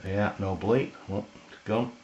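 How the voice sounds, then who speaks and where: A man with an English accent giving an informal, unscripted hands-on product demonstration, halting and often pausing mid-sentence. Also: on the low side, fairly even intonation, a little mellow, a little hiss or hum. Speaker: product reviewer. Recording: roomy, dull lo-fi camera sound.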